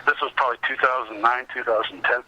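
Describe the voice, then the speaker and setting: A middle-aged man with a very bright, pressing voice tells casual, anecdotal stories as an interview guest. The sound is dull and lo-fi, like a remote call. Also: medium pitch, steady tone, fast, a little hiss or hum. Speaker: interview guest, comic book researcher and collector. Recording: dull lo-fi phone-like call audio.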